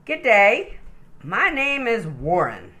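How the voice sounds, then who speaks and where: A woman's voice: mid-range voice, huge pitch swings, takes it slowly, sharp tone, pitched above the performer's own voice, heavily acted. A woman reading as a chatty male koala. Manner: chatty, rhyming, mildly indignant. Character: friendly male koala telling his story. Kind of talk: children's picture-book read-aloud.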